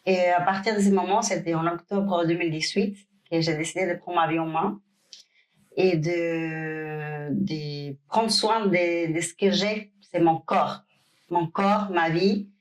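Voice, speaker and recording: Woman, podcast guest, slightly low pitch, hesitant. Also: steady tone, a little slow. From Cuba. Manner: hesitant, many fillers and restarts. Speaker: guest, pro bikini athlete. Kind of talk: podcast interview.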